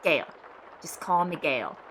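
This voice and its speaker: Lady doing a brusque boss of the house staff, radio drama, lowish but sharp voice, short with people. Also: animated, moderate pace, poor audio. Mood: curt, dismissive. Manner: curt, instructing, dismissive. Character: Gale, brusque, bossy female head of household staff. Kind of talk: audio drama dialogue. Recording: clear background ambient noise. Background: ambience.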